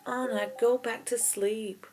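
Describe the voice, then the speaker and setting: A woman's voice: on the high side, steady tone, slow pace, noisy background, pitched above the performer's own voice. A woman voicing a protective eight-year-old princess, firm with her younger sister, in a storybook reading. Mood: grumbling. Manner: firm, worried. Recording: keyboard music behind the voice.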